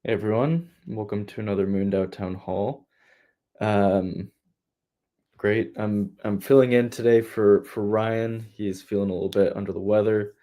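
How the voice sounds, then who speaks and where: Stand-in town hall host, male, congested and a touch nasal, low mellow voice, relaxed delivery over a video call. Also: a little slow. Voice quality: slightly nasal. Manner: relaxed, conversational, easygoing. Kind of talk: casual meeting update.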